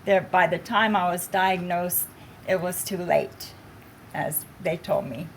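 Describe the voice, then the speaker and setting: Female panelist sharing her story; slow, hesitant delivery, lowish voice, faint noise. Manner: slow, halting, with many fillers. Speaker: patient panelist. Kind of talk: panel discussion, personal story.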